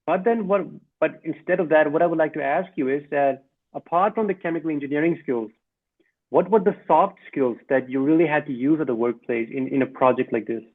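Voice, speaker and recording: A man's voice: fairly high pitch, brisk. A man hosting a podcast, asking interview questions and giving frequent encouraging responses. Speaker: podcast host. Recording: tinny phone-like line.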